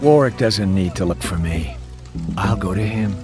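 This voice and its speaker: An actor playing a determined, loyal colonial militia commander in a video game cutscene. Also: male voice, low voice, lively intonation, normal speed, over music. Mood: grim resolve.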